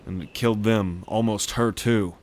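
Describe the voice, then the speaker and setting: A man's voice: on the low side, steady tone, darkish tone, a little hiss or hum, close to an everyday voice. A man playing a world-weary, blunt dragon brother in a roleplay audio drama, telling things in a wry way. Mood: grave, reflective. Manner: wry, unhurried storytelling with cursing.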